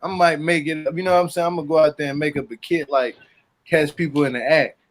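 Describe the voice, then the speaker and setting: A man's voice: on the high side, fairly even intonation, fast talker. A man hosting a casual group chat, telling loose slangy jokes and stories. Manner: loose joking storyteller, slangy and profane. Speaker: host. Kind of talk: casual group chat, reality show recap.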